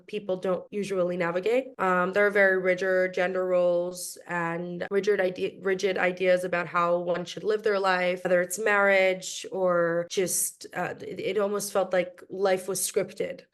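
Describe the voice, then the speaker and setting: A woman's voice: fairly low pitch, level tone, normal speed, hardly any pauses. A young woman from New York, a podcast guest who speaks in a reflective, casual way with frequent ums and restarts. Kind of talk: casual interview chat.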